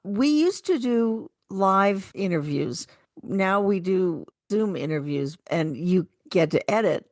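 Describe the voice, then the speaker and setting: A woman's voice: medium pitch, animated, slow pace, darkish tone, no room sound, clean studio sound. A woman co-hosting a radio show, speaking in a casual, chatty style. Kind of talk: casual radio chat with some program introductions.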